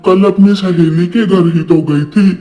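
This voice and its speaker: A man acts out a troubled village husband in a homemade cartoon story. His voice is fairly high for a man, with a dark, mellow tone. He speaks as an anxious, caring villager. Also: fairly even intonation, measured pace. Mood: distressed. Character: worried village husband searching for his missing wife. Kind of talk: amateur cartoon story dialogue.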